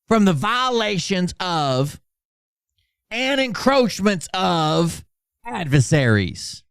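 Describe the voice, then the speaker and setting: A man's voice: high-pitched, vocal fry, clear, resonant tone, dry recording, studio quality, full character voice. A man doing an over-dramatic reading as a pompous, self-important doomsaying orator, grandiose and declamatory.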